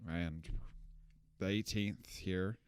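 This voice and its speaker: A man's voice: low voice, steady tone, extremely slow, smooth, dry recording. A young man co-hosting a podcast, chatting casually about a movie he just saw, rambling and correcting himself. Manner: rambling, self-correcting, laughs at own jokes. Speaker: podcast co-host. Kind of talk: casual film review chat.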